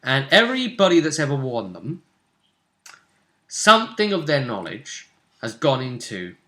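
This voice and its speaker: A man's voice: medium pitch, a little slow. A man chatting casually and playfully as game master, recapping a tabletop role-playing session. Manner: playful, animated, acts out game moments.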